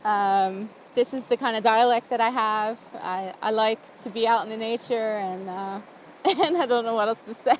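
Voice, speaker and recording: Giggly American woman, slightly high voice. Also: normal speed, a little hiss or hum. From USA. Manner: chatty and giggly, talking to camera while cooking. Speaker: vlogger. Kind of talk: casual outdoor vlog chat. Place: outdoors by a campfire in the woods.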